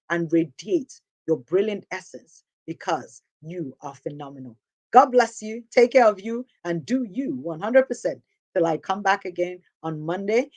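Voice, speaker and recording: A woman hosting a motivational talk show, her voice husky and hoarse from a sore throat, speaking in an upbeat, encouraging, preacher-like way. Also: fairly low pitch, a little mellow. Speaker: host.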